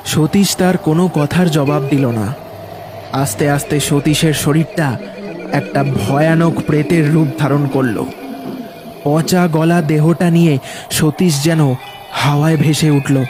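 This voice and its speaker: A man's voice: on the high side, steady tone, unhurried. A man giving scripted, dramatic narration of a horror cartoon story. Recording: loud music behind the voice.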